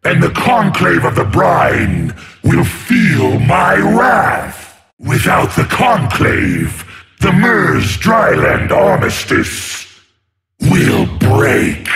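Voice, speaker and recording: A man voicing a menacing, imperious sea monster tyrant for a video game, growling slowly and theatrically as he gloats. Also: sits high, full character voice. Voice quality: gravelly, growling. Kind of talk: video game character voice lines.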